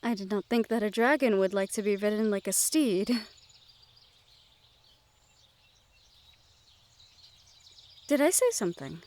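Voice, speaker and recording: A woman playing a sheltered young noblewoman in a fantasy audio roleplay, gentle, wistful and quietly willful, with formal phrasing. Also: medium pitch, lively intonation, a little fast, lightly acted. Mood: playful, fond. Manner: formal speech with few contractions. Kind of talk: fantasy audio roleplay acting, addressed to the listener.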